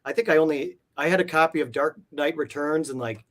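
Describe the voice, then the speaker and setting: A man's voice: fairly high pitch, a little fast, fairly bright tone. Man chatting informally as an interview guest. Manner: informal, meandering, frequent "like". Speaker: interview guest, comic art collector. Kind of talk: casual conversational storytelling.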